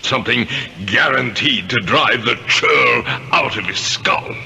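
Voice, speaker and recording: A man's voice: mid-range voice, measured pace, vocal fry, pushed and bright, exaggerated voice. An actor playing a vain, easily outraged, centuries-old English ghost nobleman, declaiming grandly in a 1970s radio drama. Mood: boastful, scheming. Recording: old radio broadcast, faint noise.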